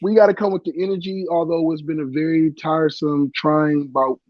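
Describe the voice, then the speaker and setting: A man's voice: fairly high pitch, steady tone, a little fast, dry recording, dull audio. Congested male podcast host teasing his co-host. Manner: playful, teasing banter. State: congested from a cold. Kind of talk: casual chat.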